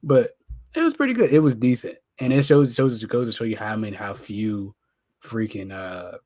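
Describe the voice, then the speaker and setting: Low-voiced American man, slangy podcast chat. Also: lively intonation, normal speed, darkish tone, no echo. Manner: informal, slangy, opinionated. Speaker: podcast co-host. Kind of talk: casual pop culture podcast chat.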